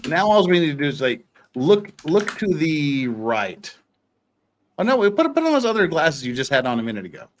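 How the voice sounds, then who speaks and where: A man hosting a livestream in a loose, jokey way. His pitch swings widely as he rambles through casual banter and dramatic readings. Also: big pitch swings, normal speed. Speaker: livestream host.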